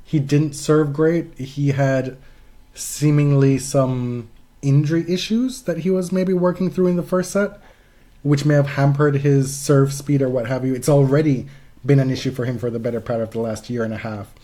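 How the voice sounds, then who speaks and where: Mellow-voiced man chatting about sports. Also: medium pitch, normal speed. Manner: emphatic, frequent profanity. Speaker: podcast co-host. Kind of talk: casual sports talk, opinionated commentary.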